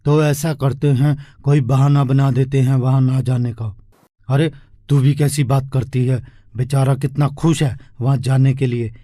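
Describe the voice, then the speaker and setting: Poor village dad, played by a man, gentle and worried, pleading manner, dry close recording, storybook drama. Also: mid-range voice, fairly even intonation, a little slow, no echo, natural voice. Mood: reasoning. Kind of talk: Hindi moral story dialogue.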